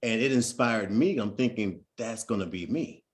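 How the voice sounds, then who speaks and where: A man's voice: lowish voice, moderate pace. A man hosting an interview, asking questions and giving short encouraging affirmations. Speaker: interview host.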